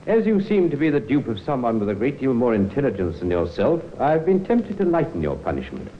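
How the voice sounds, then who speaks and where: Stern judge, muffled old radio. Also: male voice, mid-range voice, wildly swooping pitch, a little hiss or hum. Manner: formal, measured, severe. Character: stern, lofty male judge passing sentence. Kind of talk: old time radio drama acting.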